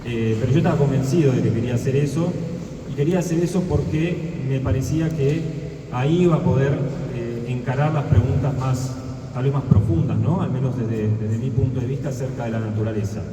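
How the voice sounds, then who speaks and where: A man with an Argentine accent talking to students, hesitant, with many pauses and restarts. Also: medium pitch. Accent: Argentine Spanish. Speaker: astrophysicist. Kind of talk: talk to students. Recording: echoey hall from far off, noisy.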